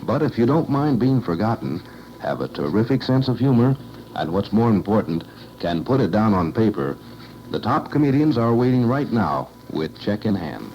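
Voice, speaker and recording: A man's voice: lowish voice, very animated, normal speed, muffled recording, a little hiss or hum. A man reading scripted narration in the style of a vintage radio documentary, on an old archive recording.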